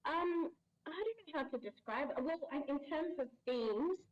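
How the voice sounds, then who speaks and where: A woman in her thirties, a voice actress, answering interview questions thoughtfully with many pauses and restarts. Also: high-pitched, lively intonation, muffled recording. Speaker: voice actress guest.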